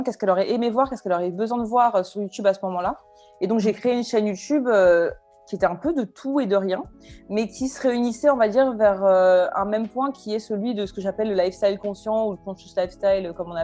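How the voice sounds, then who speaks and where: A young woman from France speaks as an interview guest in a casual, halting way, full of fillers and fresh starts. Her pitch sits in an ordinary middle range. Faint music plays under her voice. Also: darkish tone. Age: 20s. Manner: hesitant, many fillers and restarts.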